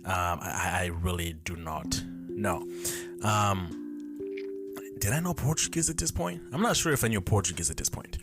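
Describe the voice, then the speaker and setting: A man's voice: low voice, expressive, normal speed, over loud music. A man in his twenties hosting his own podcast, telling childhood memories in a rambling, self-correcting way as he thinks aloud. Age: 20s. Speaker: podcast host. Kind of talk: casual solo storytelling. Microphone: camera mic.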